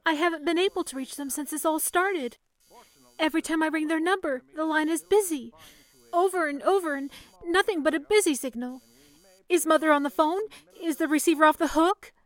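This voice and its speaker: An actress playing a polite, earnest young telephone operator in an old-time radio drama, soothing and composed in manner. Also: female voice, high-pitched, brisk, no room sound, lightly acted. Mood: worried. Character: young 1930s telephone operator, calm, earnest woman saving for college.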